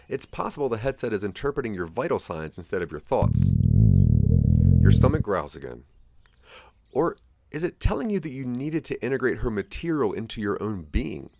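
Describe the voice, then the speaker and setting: A man's voice: fairly low pitch, very animated, moderate pace, gravelly, a little mellow, no echo. A man giving a scripted second-person narration for an audio drama.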